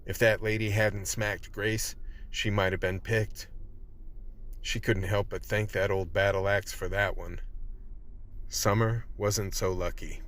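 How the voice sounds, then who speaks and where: An actor playing a wry vice team member, narrating a radio play colloquially. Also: male voice, lowish voice, flat delivery, a little slow, fairly bright tone. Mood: grim storytelling. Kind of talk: scripted in-character narration.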